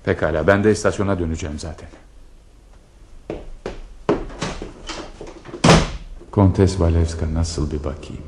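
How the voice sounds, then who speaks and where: A man's voice: sits low, steady tone, very noisy, lightly acted. A man acting in a radio play as a lovesick married stationmaster, awkward and hesitant. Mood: tender, yearning. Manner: hesitant, halting with women, dreamy when alone. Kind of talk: Turkish radio theatre acting.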